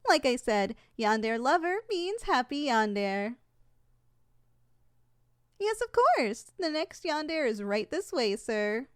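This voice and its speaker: A woman's voice: sits high, sing-song, slow pace, clear, resonant tone, exaggerated voice. A woman playing a polite, unflappable shop tour saleswoman in a scripted ASMR roleplay, formal and matter-of-fact. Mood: polite, salesy. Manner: formal, courteous, matter-of-fact about danger. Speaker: voice actor in audio roleplay.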